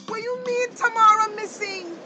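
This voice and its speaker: A woman's voice: very high-pitched, moderate pace, loud music behind the voice. A woman playing a concerned, forthright fishing-town local with a Belizean Creole accent in a radio drama. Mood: alarmed.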